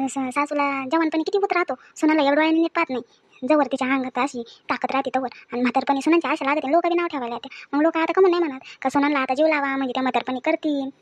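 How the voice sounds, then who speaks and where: A woman playing a nagging, quick-tongued village wife full of grievances in an acted family story, scolding in a colloquial rural Maharashtrian accent. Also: high voice, dry recording, close to an everyday voice.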